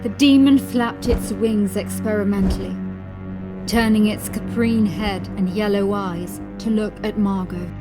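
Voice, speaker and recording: A woman narrating a horror audiobook in a dramatic, scripted style, with measured, suspenseful storytelling. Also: mid-range voice, steady tone, low-quality recording. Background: music.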